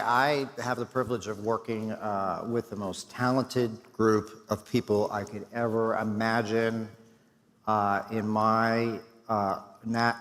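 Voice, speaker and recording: A middle-aged man, hoarse and losing his voice, giving host remarks and thanks at a conference with a self-deprecating, filler-heavy manner. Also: fairly low pitch, fairly bright tone. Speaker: executive director. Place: large conference hall. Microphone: stage mic. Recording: long hall reverb.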